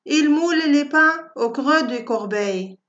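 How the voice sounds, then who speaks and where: Female poetry reciter, higher register, unhurried and careful phrasing, slightly muffled lo-fi recording. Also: animated, a bit bright. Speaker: poem reciter for schoolchildren. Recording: dull, lo-fi audio.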